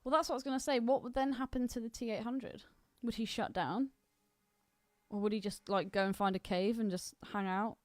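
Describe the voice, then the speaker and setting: A woman's voice: fairly high pitch, steady tone, brisk, no echo. A woman co-hosting a podcast in casual film discussion, speaking in an opinionated, argumentative manner. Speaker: podcast co-host.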